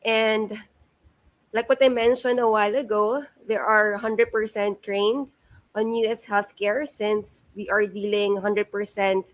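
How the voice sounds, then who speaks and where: Halting Filipino woman presenting. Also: medium pitch, level tone. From Philippines. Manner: halting, frequent "um" fillers, mixes in Tagalog. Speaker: guest speaker at a career talk. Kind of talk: career presentation to students. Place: not present in the room, speaking remotely.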